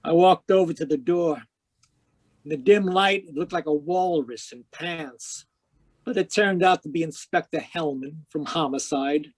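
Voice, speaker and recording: Casting: male actor for hardboiled 1940s radio detective, lighter-pitched voice, dry wisecracks, world-wise and cynical. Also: a little slow, breathy edge. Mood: wry, world-weary. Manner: wry, terse, slangy delivery.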